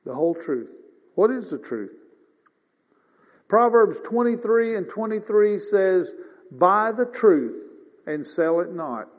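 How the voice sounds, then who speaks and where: Slow-preaching man in his fifties, high voice. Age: 50s. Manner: folksy, conversational with throat clearing. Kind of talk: sermon. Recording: dull lo-fi sound with long reverb.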